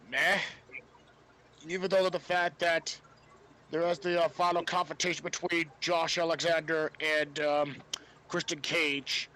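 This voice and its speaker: A man co-hosting a casual wrestling podcast, speaking haltingly and often repeating words as he explains. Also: a little high, a bit bright. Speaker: podcast co-host. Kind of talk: casual wrestling review chat.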